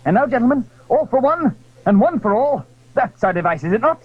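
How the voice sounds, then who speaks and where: A tinny, phone-like old recording carries a man acting a gallant young swordsman in an audio drama. He is eager and declamatory, swinging widely in pitch with a husky edge. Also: big pitch swings, fairly quick, dry recording, full character voice. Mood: rousing, resolute.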